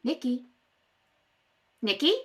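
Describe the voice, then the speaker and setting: A woman's voice: sits high, lively intonation, slow, fairly bright tone, faint music behind, full character voice. A woman playing a chatty, wakeful wife, wheedling and teasing, in an old-time radio comedy-drama. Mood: coaxing, teasing. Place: home kitchen table. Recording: distant home rehearsal recording.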